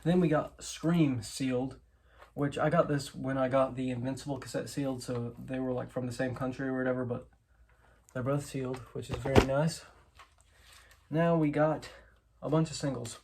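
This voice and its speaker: Man, medium pitch, casual show-and-tell host, informal, self-correcting, noisy recording with clicks. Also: fairly even intonation, moderate pace. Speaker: host, collector showing their collection. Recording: clear background noise with clicks and clatter.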